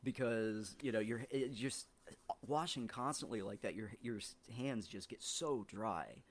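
Podcast guest, man, lower voice, halting and rambling, casual. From southern Ohio, US. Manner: rambling, halting, with many ums and restarts. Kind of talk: casual podcast interview chat.

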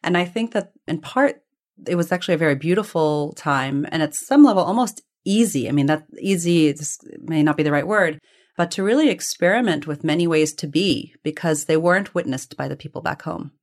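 A woman's voice: low-pitched, expressive, a little fast. Reflective woman telling family stories. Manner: reflective, discursive, hedging with self-corrections. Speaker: interview guest. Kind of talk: conversational personal storytelling.

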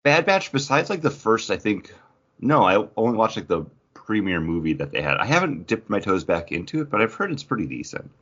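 Deep-voiced man chatting animatedly on a podcast. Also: big pitch swings, fairly quick, fairly bright tone, husky, muffled recording. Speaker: podcast co-host. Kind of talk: casual chat.

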